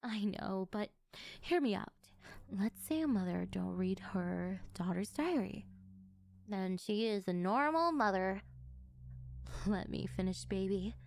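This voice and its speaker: Casting: actress as yandere mom, lower than her daughter, doting, manipulative, wounded, for anime-style roleplay. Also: lively intonation, slow, creaky, a little mellow. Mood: coaxing. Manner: coaxing, wounded, self-justifying. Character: doting, manipulative yandere mother, sweetly unhinged.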